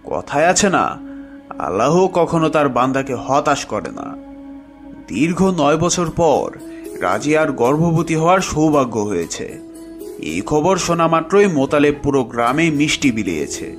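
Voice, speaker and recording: A man's voice: a little high, sing-song, a little slow, soft background music. A man narrating a scripted horror story in a dramatic style.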